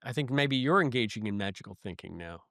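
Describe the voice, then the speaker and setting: A man's voice: mid-range voice, lively intonation, quick pace, a bit bright, dry recording, studio quality. A man co-hosting a review podcast in a casual, wry and jokey way. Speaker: podcast co-host.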